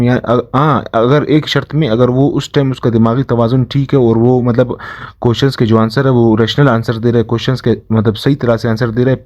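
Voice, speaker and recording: A man with a Pakistani accent giving an explanatory lesson as a lecturer, halting and often rephrasing himself mid-sentence. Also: mid-range voice, warm tone, highs cut off. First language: Urdu. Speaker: law lecturer. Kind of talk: explanatory lesson, reading statutes aloud and explaining them.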